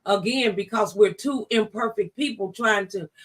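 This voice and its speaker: A woman's voice: mid-range voice, normal speed, distant mic. A woman hosting a talk show, speaking conversationally with an exhorting, encouraging style.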